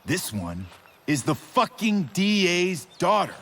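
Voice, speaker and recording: A man's voice: high voice, very animated, a bit bright, heavily acted. An actor playing a volatile, sarcastic, cruel male drug dealer in a video game, taunting as he talks. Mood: cold menace. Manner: taunting, drawn-out, sarcastic delivery.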